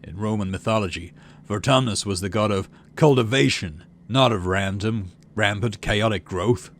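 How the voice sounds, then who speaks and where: A man's voice: lowish voice, normal speed, natural voice. A man voicing a bombastic young colony governor giving rousing, declamatory speeches in a video game. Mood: rousing, fervent. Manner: declamatory, rousing political oratory.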